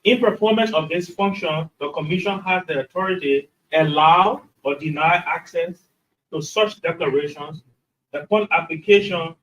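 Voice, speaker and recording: A man's voice: fairly high pitch, normal speed, fairly bright tone. A man reading a formal statement as an official. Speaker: anti-corruption commission official. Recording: slightly distant microphone.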